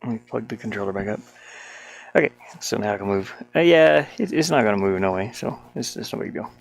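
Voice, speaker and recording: A solo game developer thinking aloud in a casual, unscripted way, with a creaky voice and colloquial, mildly grumbling speech. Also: mid-range voice, lively intonation, a little fast. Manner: muttering to self, grumbling mildly, colloquial 'ain't' and double negatives. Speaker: solo game developer narrating their work. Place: small warm room with a fan, indoors.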